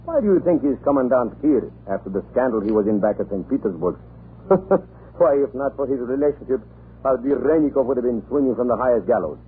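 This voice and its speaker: An actor playing a talkative, irreverent Russian government official in a radio drama, chatty, excitable and theatrical. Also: male voice, medium pitch, fairly quick, close to an everyday voice. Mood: scornful bewilderment. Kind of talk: 1940s radio drama acting. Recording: muffled, noisy old radio recording.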